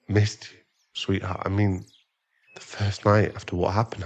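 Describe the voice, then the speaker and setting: A man playing a remorseful sugar-daddy boyfriend in an ASMR audio roleplay, hesitant and stammering as he earnestly pleads for forgiveness. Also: fairly low pitch. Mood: tender, apologetic, then sheepish, earnest.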